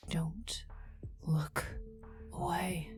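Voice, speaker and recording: A woman voicing a cold, menacing female poisoner agent, mocking and condescending, in an ASMR roleplay with breathy murmurs. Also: low-pitched, fairly even intonation, over music. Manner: slow, taunting, condescending, often dropping to a breathy murmur.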